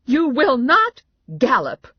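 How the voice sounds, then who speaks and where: A strident, exasperated mother, voiced by a woman narrator, middle-aged and quick to fuss. The voice climbs high with wide swoops in a comic audiobook story. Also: very animated, husky, no echo, dull audio, exaggerated voice. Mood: angry scolding. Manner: strident, scolding.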